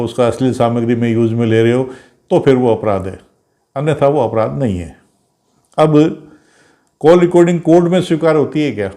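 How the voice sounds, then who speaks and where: A man's voice: mid-range voice, warm tone. Man teaching in an explanatory style. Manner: didactic, repetitive, poses questions then answers them. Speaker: law teacher. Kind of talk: informal explanatory legal lecture.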